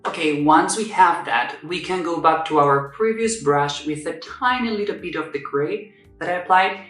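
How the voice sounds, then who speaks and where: A man's voice: a little high, moderate pace. Young man, Spanish accent, casual step-by-step makeup talk, roomy echo, faint background music. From Spain. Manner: chatty, explains step by step, self-correcting. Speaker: makeup vlogger. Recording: echoey room, somewhat far from mic. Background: soft music.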